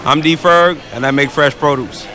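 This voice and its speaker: A man's voice: fairly high pitch, steady tone, measured pace. A man from the Bahamas, a music producer and DJ, giving casual interview answers about himself.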